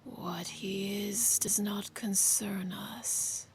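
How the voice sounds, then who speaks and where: A woman voicing a mysterious robed executor of unclear sex and age, speaking in a slow, flat whisper. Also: medium pitch, a little hiss or hum. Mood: cold, measured.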